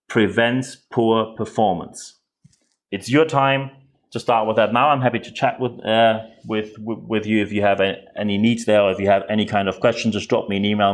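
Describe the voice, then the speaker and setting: A man with a German accent, speaking English as a second language, hosting a podcast and giving direct, earnest commentary with frequent repeated words. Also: fairly low pitch. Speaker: podcast host.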